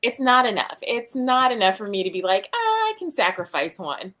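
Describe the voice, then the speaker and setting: A woman's voice: medium pitch, sing-song, normal speed, bright, forceful tone. A woman chatting casually about books on a livestream, with a blunt, exasperated way of talking. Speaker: book reading livestream host.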